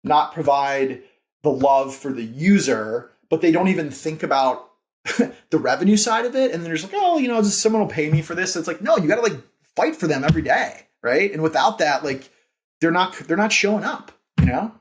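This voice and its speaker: A man's voice: fairly high pitch, animated, normal speed. A man speaking casually and emphatically as a podcast guest, telling stories about his work as a founder in an informal, enthusiastic way. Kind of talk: casual interview storytelling.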